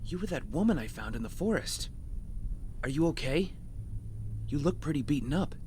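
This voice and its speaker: An actor playing a battered, weary young castaway with a dryly sardonic manner in an audio drama. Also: male voice, a little high, lively intonation, moderate pace, a little mellow, a little hiss or hum, natural voice. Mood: weary, wary.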